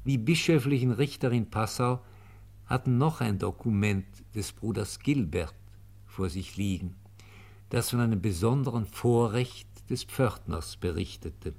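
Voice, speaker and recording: A man's voice: lowish voice, unhurried, vocal fry, a little mellow. A man narrating a radio play from a script in a sober, formal manner.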